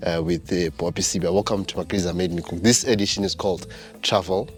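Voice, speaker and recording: A man's voice: low voice, steady tone, fast talker, faint music behind. A man hosting a show, introducing a guest casually with many ums and uhs. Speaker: show host.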